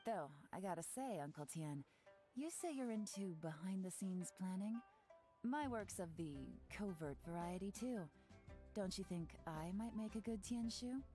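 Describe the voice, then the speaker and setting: An actress playing a cool, confident female covert agent in a video game, wry and brisk. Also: medium pitch, expressive, dark tone, close to an everyday voice. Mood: sly. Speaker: game character. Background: game soundtrack music.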